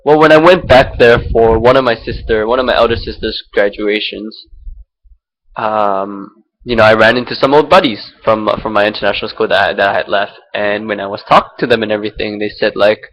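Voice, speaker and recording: A man's voice: fairly low pitch, steady tone, brisk, a bit bright, poor audio. A young man from Singapore talking through his thoughts without a script, rambling and hesitant, with many fillers and restarts, recorded on a laptop mic. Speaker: vlogger. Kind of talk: unscripted personal reflection. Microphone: laptop built-in mic.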